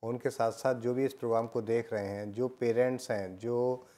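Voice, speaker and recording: A man's voice: medium pitch, fairly even intonation, normal speed, mellow. A man hosting an educational program, affirming and summarizing the guest's points with some fillers. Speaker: host. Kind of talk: educational talk on live program.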